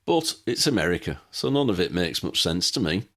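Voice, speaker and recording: A man's voice: low voice, big pitch swings, moderate pace, a bit bright, full character voice. A man voicing an eccentric, chatty old aeroplane pilot in a storybook, jaunty, rambling and folksy. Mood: announcing.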